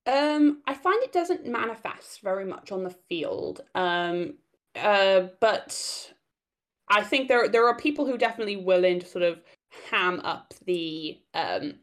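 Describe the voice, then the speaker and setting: A woman's voice: medium pitch, expressive, unhurried. Giggly woman with a light Welsh accent. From Wales. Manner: hesitant, giggly, many fillers. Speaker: guest. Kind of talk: casual interview chat.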